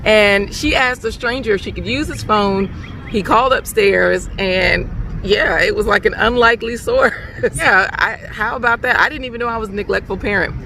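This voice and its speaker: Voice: mid-range voice, normal speed, vocal fry, pushed and bright, slight background noise, poor audio. A middle-aged parent co-hosting a story show, chatting casually and conversationally. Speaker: co-host. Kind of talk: casual family chat and sung echo responses.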